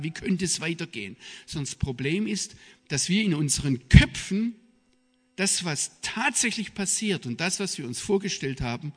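A middle-aged man with a southwest German accent giving a public lecture in an emphatic, deliberate manner. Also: a little high, fairly bright tone. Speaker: guest speaker, journalist and theologian. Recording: reverberant hall, long echo.

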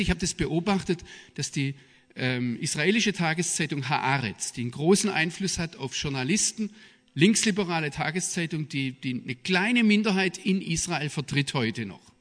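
A middle-aged man with a southwest German accent giving a public lecture in an emphatic, deliberate manner. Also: high-pitched, a bit bright. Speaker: guest speaker, journalist and theologian. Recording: reverberant hall, long echo.

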